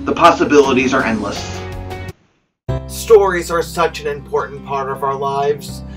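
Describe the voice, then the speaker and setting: A man's voice: mid-range voice, a little slow. Male host, promo read, emphatic, slightly off-mic over guitar bed. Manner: emphatic, salesy, rising to loud. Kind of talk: upbeat show promo. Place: home stairway indoors. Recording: distant mic with guitar music.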